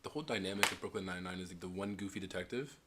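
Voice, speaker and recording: A man's voice: low-pitched, very noisy. A young man co-hosting a podcast, chatting casually and emphatically with friends. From Canada. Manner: quick, emphatic, opinionated. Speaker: podcast co-host. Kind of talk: casual chat among friends.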